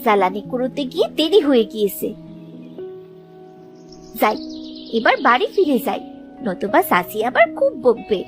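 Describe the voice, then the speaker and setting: A woman's voice: fairly high pitch, very animated, moderate pace, long pauses, over music. A woman playing a kind, mistreated young girl in a cartoon tale, speaking in a timid, pleading way. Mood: earnest. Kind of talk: animated moral tale voice acting.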